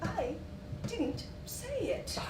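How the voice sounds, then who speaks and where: A meddling society gossip, played by a woman on stage, arch and malicious. She drawls slowly and insinuatingly, her high voice swooping up and down. The sound is echoey and far from the mic. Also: sing-song, background noise, exaggerated voice. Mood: protesting. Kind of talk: stage acting in a one-act play.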